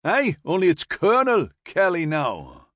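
Man doing a dour, high-pitched Newcastle corporal. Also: wildly swooping pitch, unhurried, fairly bright tone, no room sound, clean studio sound, heavily acted. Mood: businesslike shouting. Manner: dolorous, testy, calling out. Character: testy, dry, dour army corporal calling from a ferry.

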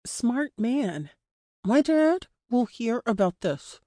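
A woman's voice: on the high side, sing-song, slow, warm tone, no echo, clean studio sound, voice pushed up, full character voice. A woman playing a defiant young captive woman. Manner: urgent, defiant. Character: defiant young woman captive, wry, pleading for her friend.

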